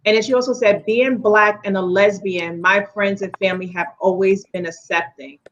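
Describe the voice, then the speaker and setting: A woman chatting quickly and casually on an online talk show, reading out viewer comments as a co-host. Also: medium pitch, steady tone, dull audio. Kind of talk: casual chat.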